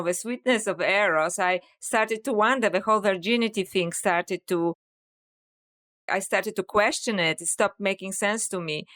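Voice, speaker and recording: A woman with a Polish accent speaks in a smooth, resonant voice of middle pitch. She explains things at a measured, reflective pace as a guest in a conversation, often slipping in "you know.". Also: a bit bright. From Poland. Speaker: author and scholar, podcast guest. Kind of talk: interview conversation.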